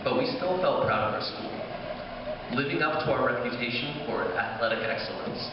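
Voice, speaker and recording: A young man, a high school class officer, reading a scripted speech aloud at a school ceremony. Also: animated, normal speed. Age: young adult. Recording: distant echoey hall, muffled, noisy.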